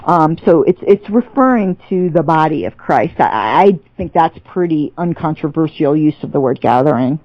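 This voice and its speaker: A woman with a fairly low voice, thinking aloud as she answers and often stopping to restart a sentence. The sound is dry and close, with a slightly muffled, lo-fi tone. She talks in a casual podcast-guest manner. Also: animated, moderate pace, few pauses, dry recording. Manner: thoughtful, many restarts and fillers, laughs easily. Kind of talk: casual theological interview.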